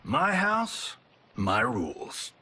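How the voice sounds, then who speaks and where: An actor playing a cocky, flippant Hollywood action star in a video game cutscene, sarcastic and showy. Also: male voice, mid-range voice, wildly swooping pitch, very slow, noisy background, exaggerated voice. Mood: cocky, defiant.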